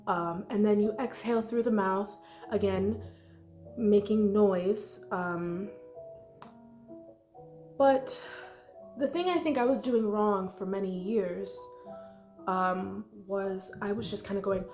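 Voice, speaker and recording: A woman's voice: mid-range voice, takes it slowly, pauses often, a little mellow. A congested young woman talking casually through a meditation, rambling with lots of likes and ums. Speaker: meditation vlogger. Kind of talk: casual unscripted guided meditation talk. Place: her apartment. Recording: echoey room with faint music.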